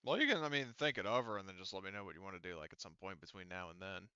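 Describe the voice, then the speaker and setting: A male game master speaks casually in a crisp, bright voice. The audio is dry and slightly dull, as over an online call. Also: low-pitched, animated, rapid-fire, no room sound. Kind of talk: casual chat while running an online game.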